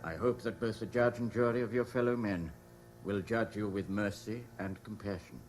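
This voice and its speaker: An actor with a British accent playing a stern examining magistrate, formal and grave, in a radio drama. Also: male voice, lowish voice, moderate pace, a little mellow, faint music behind, natural voice. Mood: solemn, judicial. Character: stern, measured examining magistrate passing judgement. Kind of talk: BBC radio drama acting.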